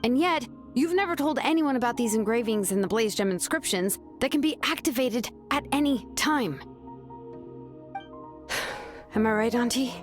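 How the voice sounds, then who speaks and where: An actress playing a sharp, laid-back young woman who calmly reasons through a scheme in a video game. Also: on the high side, moderate pace, lightly acted. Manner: calm, knowing, casually reasoning. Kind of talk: video game dub acting. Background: game music.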